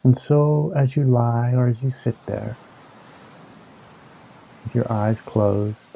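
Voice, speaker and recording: A man leading a guided meditation in a slow, soothing, hypnotic manner, with long pauses between short phrases. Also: medium pitch, gravelly, warm tone, slight background noise, low-quality recording. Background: ambient new-age music with singing bowls.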